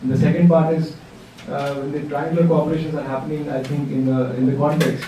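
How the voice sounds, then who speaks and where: Hesitant man, dark voice, echoey room. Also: on the high side, little pitch movement, quick pace. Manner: hesitant, many fillers and restarts. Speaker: workshop participant. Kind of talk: spoken contribution in a group discussion. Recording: distant mic in an echoey room with faint noise.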